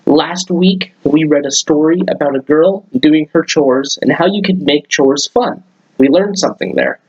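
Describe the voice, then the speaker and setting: Man with a higher-pitched voice reading to kids. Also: lively intonation, a little slow, a little mellow, husky, highs cut off. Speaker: children's story-time host reading aloud. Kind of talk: read-aloud storytelling for children.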